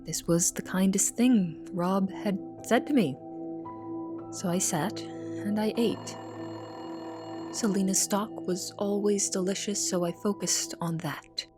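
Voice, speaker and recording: A woman's voice: medium pitch, slow, over music, close to an everyday voice. A woman playing an anxious young cook in an audio drama, narrating and speaking with confessional, dramatic intensity. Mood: haunted, uneasy. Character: anxious young female cook in a big house. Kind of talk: audio drama first-person narration and dialogue.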